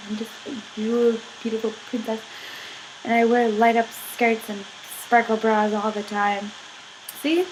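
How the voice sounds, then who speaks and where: A young woman rambling in a casual vlog, filling every pause with "like". She is sick, and her voice sounds a little husky. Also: medium pitch, noisy background. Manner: chatty, filler-heavy, giggly, says "like" constantly. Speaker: vlogger.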